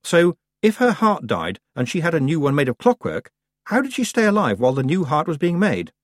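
A man voicing an earnest young girl apprentice witch who protests quickly. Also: on the high side, wildly swooping pitch, no room sound, clean studio sound, pitched above the performer's own voice.

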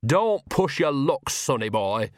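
A man voicing a gruff, stubborn older village witch, blunt and grudgingly kind, in an audiobook. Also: mid-range voice, very animated, takes it slowly, dry recording. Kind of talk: audiobook character dialogue.

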